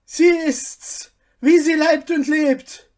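A chorus of palace servants pleads in a high, loud voice, as a storyteller puts them on. They are frantic and fearful. Their words come out slowly and strained. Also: flat delivery. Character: crowd of frantic palace slaves.

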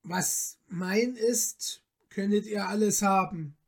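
A woman storyteller plays a modest, noble-hearted young man from a storybook. He talks very slowly and earnestly. His phrasing is polite, formal and old-fashioned. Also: mid-range voice, gravelly. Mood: courteous, firm.

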